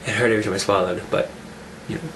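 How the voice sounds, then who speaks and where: A man talking casually in a vlog, rambling and restarting his sentences, his voice rough from a cold. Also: fairly low pitch, fairly even intonation, very fast, fairly bright tone, a little hiss or hum, poor audio. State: getting over a cold, rough voice. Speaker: fitness vlogger. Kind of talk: casual weekly update vlog.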